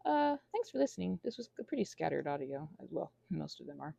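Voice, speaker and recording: Dark-voiced woman, whimsical and very animated. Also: deep voice, quick pace, gravelly, dry recording. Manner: whimsical, self-deprecating, wandering. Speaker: audio creator rambling to listeners. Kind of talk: casual rambling monologue.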